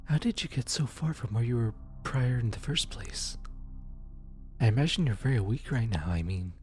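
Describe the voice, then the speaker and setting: A performer playing a gentle demon receptionist from hell in an ASMR roleplay, speaking in a reassuring, hesitant manner. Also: mid-range voice, animated, moderate pace, close to an everyday voice. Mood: gentle, caring. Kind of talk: ASMR roleplay, scripted comfort acting. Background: music.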